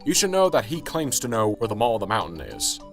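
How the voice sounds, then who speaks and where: Radio-play sergeant, played by a man: earnest, firm, exasperated boss with animated intonation and faint music. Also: fairly low pitch, fast talker. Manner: firm, explanatory, reproving. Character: earnest, exasperated male police sergeant in charge. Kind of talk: amateur radio drama acting.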